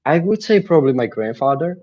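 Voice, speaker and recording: A young man from the Czech Republic with a Czech accent, a native Czech speaker, answering interview questions remotely in a halting way. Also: on the high side, big pitch swings, darkish tone, muffled recording. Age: young adult. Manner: halting, with restarts and fillers. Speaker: guest, mindset coach.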